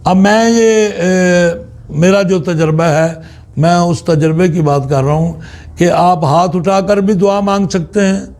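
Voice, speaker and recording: A man with a Pakistani accent giving a religious teaching talk as a spiritual guide, in deliberate, halting phrases with pauses. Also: high-pitched, fairly even intonation, darkish tone.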